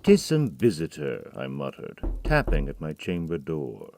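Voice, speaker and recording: A man giving a brooding, measured dramatic reading of a poem, dropping to a hush on key words. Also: extremely low pitch, wildly swooping pitch, gravelly, noisy background. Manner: measured, brooding recitation with hushed drops. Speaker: narrator.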